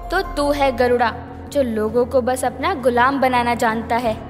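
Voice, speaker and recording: An actress playing a fearless masked warrior heroine in an animated myth, firm and dramatic. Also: female voice, on the high side, measured pace, soft background music, close to an everyday voice. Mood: defiant. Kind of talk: animated mythological story acting. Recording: long cavernous reverb.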